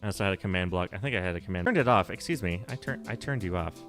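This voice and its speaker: A man with a low voice talks loosely through a game livestream. He rambles, stops to correct himself, and laughs easily. Soft music plays faintly behind him. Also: lively intonation, very fast. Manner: rambling, self-correcting, nerdy, laughs often. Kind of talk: casual livestream chat while playing.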